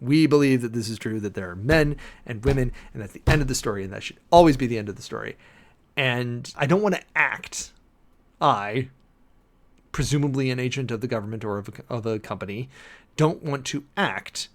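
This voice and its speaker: Emphatic man reading aloud and commenting. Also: medium pitch. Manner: emphatic, argumentative, with rhetorical questions and deliberate pauses. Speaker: preacher and commentator. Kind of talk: dramatic reading of a document with off-the-cuff spoken commentary.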